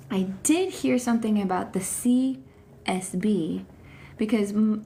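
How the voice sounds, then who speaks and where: A woman's voice: mid-range voice, warm tone, a little hiss or hum. A woman in her thirties chatting casually about her Bible reading on a phone livestream from home, with lots of fillers and restarts.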